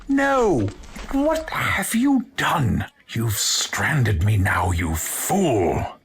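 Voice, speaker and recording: A man's voice: mid-range voice, sing-song, drawn-out words, slight background noise, heavily acted. An actor playing a cowardly, haughty man in an audio drama, indignant and desperate to flee. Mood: outraged. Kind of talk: full-cast audio drama acting.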